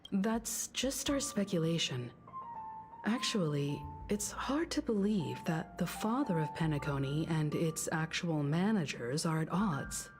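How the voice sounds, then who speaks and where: An actress playing a calm, serious young woman in a video game, speaking in a measured, reserved way. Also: deep voice, over music. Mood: measured, wary.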